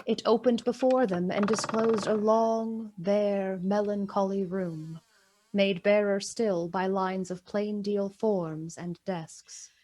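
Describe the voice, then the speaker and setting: A woman narrating a literary radio play in a measured, dramatic and deliberate way. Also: medium pitch, fairly even intonation, mellow, slight background noise, natural voice.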